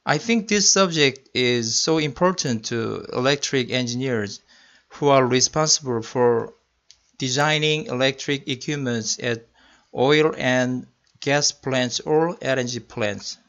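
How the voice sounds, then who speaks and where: A man with a Korean accent delivers a technical slide lecture at a medium pitch. He speaks carefully and deliberately, as a non-native speaker would. The recording sounds muffled and lo-fi. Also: a bit bright. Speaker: senior electrical engineering manager. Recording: muffled lo-fi voice-over.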